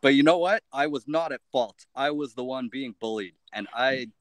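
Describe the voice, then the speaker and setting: Laid-back musician on a dry mic. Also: male voice, mid-range voice, moderate pace, no echo. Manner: relaxed, laid-back answers with fillers. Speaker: band member guest. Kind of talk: casual interview chat.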